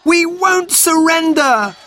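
Narrator as an excitable high-pitched brave boy. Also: moderate pace, a faint crowd, slight background noise. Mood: defiant, urgent. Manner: urgent, exclaiming. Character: brave, excitable, defiant young boy in storybook.

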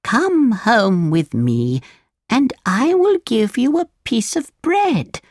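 A woman puts on an elderly good fairy for a children's storybook. She speaks very slowly in drawn-out phrases, kindly and solemn, gently admonishing with a rising and falling lilt. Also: fairly high pitch, sing-song, dry recording, clean studio sound, pitched above the performer's own voice, exaggerated voice. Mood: kind, caring.

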